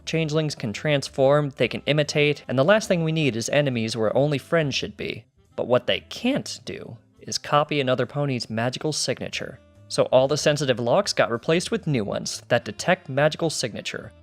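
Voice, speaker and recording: A man voicing a skeptical pony guard in a story reading, brisk, incredulous and exasperated. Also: mid-range voice, lively intonation, soft background music, lightly acted. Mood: exasperated, explaining. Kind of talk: fan fiction character dialogue.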